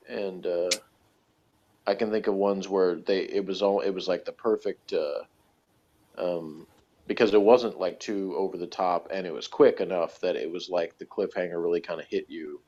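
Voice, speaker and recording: A man with a low-pitched voice talks through a review in casual chat. He rambles and hesitates, often letting thoughts trail away. The recording is dull and lo-fi. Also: darkish tone. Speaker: podcast reviewer.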